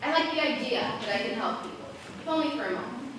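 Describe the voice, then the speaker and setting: Very echoey and noisy, this is a young woman playing a curious, wry forest wanderer on stage. Her high voice reaches the mic from a distance, with the manner of a school drama. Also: expressive, fast talker. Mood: friendly, probing. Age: teens or young adult. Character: curious, wry, searching girl who comforts forest visitors. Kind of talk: school stage play acting.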